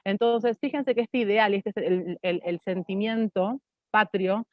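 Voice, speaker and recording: A woman's voice: mid-range voice, measured pace, no echo. Casting: Argentine-accented woman, reflective academic panelist who argues through rhetorical questions; close, dry, slightly dull recording. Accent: Argentine Spanish.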